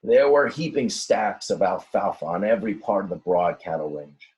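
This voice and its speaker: A man reading a children's storybook aloud in a dramatic, expressive way, with simple explanatory asides for young listeners. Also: deep voice, normal speed, breathy edge, dull audio. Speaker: teacher reading a picture book aloud.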